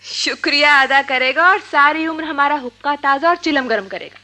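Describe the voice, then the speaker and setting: A bold and impulsive young college girl in a radio play. Her voice is very high, and she speaks in a brisk, teasing way, full of self-assurance. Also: bright, forceful tone. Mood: playful teasing. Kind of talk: Hindi radio drama acting.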